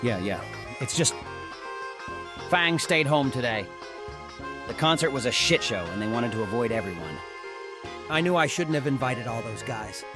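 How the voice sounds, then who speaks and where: A man's voice: medium pitch, lively intonation, moderate pace, pauses often, over music, close to an everyday voice. A man playing a protective, hot-headed teenage athlete in a visual novel dub, casual and emphatic in his emotional delivery. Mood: dejected.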